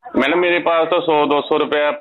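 A man's voice: on the high side, fairly even intonation, normal speed. A young man talking in a phone conversation, putting off a caller. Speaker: phone call recipient putting off a debt collector. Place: telephone call. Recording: tinny phone line with noise.

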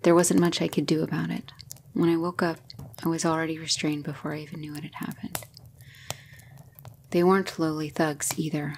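Female knight, acted by a woman, tender and devoted, hushed lowish voice with fry, ASMR romance roleplay, some background noise. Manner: breathy, hushed, emotional. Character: battle-hardened female knight, tender and devoted to her lover.

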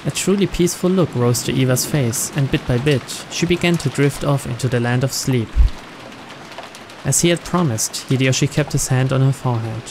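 A man's voice: mid-range voice, normal speed, noisy background. A man reading visual novel narration aloud in a storytelling style. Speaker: narrator, let's player.

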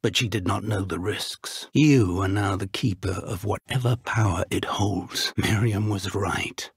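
A man playing a kindly, earnest elderly British wizard professor in a video game, with measured, refined, formal phrasing and a weathered voice. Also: lowish voice, slightly rough, no room sound, clean studio sound, heavily acted. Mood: grave, reflective. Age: older. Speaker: game character voice actor. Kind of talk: video game dialogue acting.

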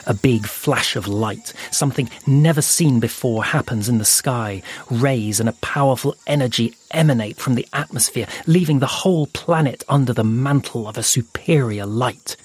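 A man narrating an audio fable in a slow, hushed storytelling manner with dramatic pauses. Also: mid-range voice, breathy edge. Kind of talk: scripted audio fiction narration. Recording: soft music underneath.

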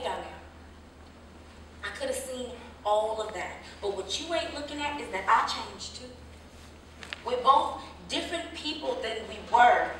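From far off in a very echoey room comes a woman acting a young working-class mother in a stage drama. Her voice is bright and high, insistent and emphatic. She is practical and frustrated, loving yet wary. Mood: weary, loving. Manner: emphatic, insistent, vernacular delivery with pointed questions. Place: live staged reading, recorded from a distance indoors. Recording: echoey room, distant mic, faint noise.

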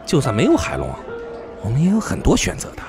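A man's voice: fairly high pitch, huge pitch swings, fast talker, vocal fry, music in the background, heavily acted. A man playing an indignant, sarcastic company shareholder in an audio drama, with a blunt, complaining manner. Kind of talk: Chinese audio drama acting.

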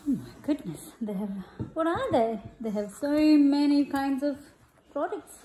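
Woman, high voice, unhurried and expressive storybook reader for young children, roomy home recording. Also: a little hiss or hum. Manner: deliberate, expressive, prompting the child with questions. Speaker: picture-book reader to a child. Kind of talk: storybook read-aloud with chat to a child. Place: room at home, at a glass table. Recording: echoey room.

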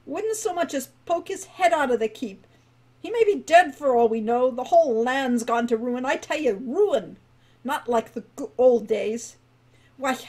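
A woman voicing a peevish, rambling old male dwarf guildmaster who stammers as he talks. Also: sits high.

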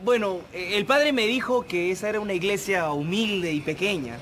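A man's voice: high-pitched, moderate pace, crowd noise, natural voice. A man with a Peruvian accent playing a timid young nephew who pleads plaintively. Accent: Peruvian Spanish. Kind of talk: TV sitcom acting.

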